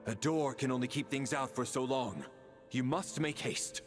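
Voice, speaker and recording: An actor playing a stoic, dutiful guardian in a video game, speaking solemnly in terse lines. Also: male voice, medium pitch, moderate pace, soft background music, close to an everyday voice. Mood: solemn, resolute. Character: stoic, dutiful guardian man who calls himself a door.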